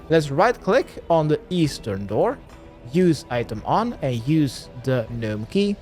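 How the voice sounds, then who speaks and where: Animated game guide narrator with lively pitch. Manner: instructional, addresses the viewer with "let's". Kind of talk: step-by-step game walkthrough commentary. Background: music.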